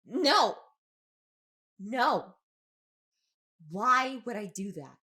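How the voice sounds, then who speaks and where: A woman's voice: fairly high pitch, expressive, extremely slow, pushed and bright, exaggerated voice. Lost confused captive girl in audio drama, short emotional questions, voice jumps around a lot. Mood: distressed. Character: confused, distressed captive young woman with lost memory.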